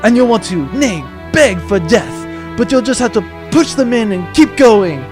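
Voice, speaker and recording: A man's voice: high-pitched, lively intonation, measured pace, over music. A man playing a reckless, grandiose space pirate captain in a comedic audio drama, speaking in mock-heroic speeches. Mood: rousing, bombastic.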